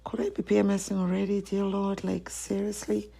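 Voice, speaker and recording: A woman's voice: medium pitch, little pitch movement, brisk, vocal fry, dark tone, slightly rough. A woman hosting her own podcast, talking alone in a rambling, self-questioning way and sometimes trailing off into a whisper. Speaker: podcast host. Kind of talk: solo confessional chat.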